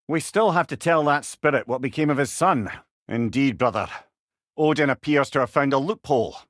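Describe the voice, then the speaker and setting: An actor playing a wise, wry old Scottish storyteller and companion in a video game, speaking eloquently with a lilt. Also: male voice, fairly high pitch, a bit bright, no room sound, clean studio sound. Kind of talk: video game character dialogue.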